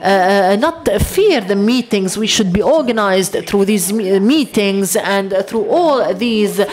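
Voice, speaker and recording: A woman giving simultaneous interpretation of a lecture, halting often with long hesitations. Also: mid-range voice, expressive, a little mellow. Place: lecture hall.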